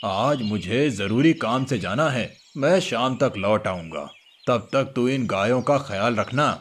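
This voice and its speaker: A man's voice: mid-range voice, animated, unhurried, husky. A man voicing a patient, fatherly old cowherd grandfather in a cartoon story. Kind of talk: Hindi cartoon moral story dialogue. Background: music.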